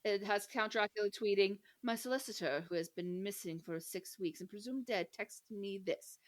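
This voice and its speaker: A woman hosting a podcast in casual, humorous chat, animated and giggly, swearing freely. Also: medium pitch, moderate pace. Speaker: podcast host.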